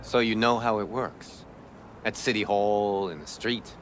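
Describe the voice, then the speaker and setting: A man's voice: lowish voice, a little slow, a bit bright, slight background noise. A man playing a cynical, world-weary corrupt cop in a video game, with an American accent and scornful, lecturing street talk. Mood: insistent, bitter.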